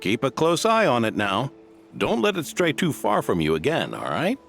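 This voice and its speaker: An actor playing a kindly old knight and protective grandfather, gravelly and reassuring. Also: medium pitch, huge pitch swings, normal speed, exaggerated voice. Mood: scolding gently. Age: older. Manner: warm, reassuring, gently scolding. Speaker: game character. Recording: game audio with music behind.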